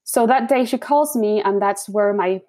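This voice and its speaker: A woman's voice: medium pitch, moderate pace, dark tone. A woman from Chile with a Chilean accent, a Spanish speaker telling her story as an interview guest, earnest and sometimes searching for words. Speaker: guest, design founder.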